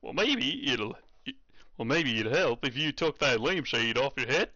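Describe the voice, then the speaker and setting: A man's voice: mid-range voice, animated, normal speed, pushed and bright, no echo, dull audio. A man voicing a laid-back, teasing cowboy ghost with a Southern US accent and a drawling, folksy way of talking.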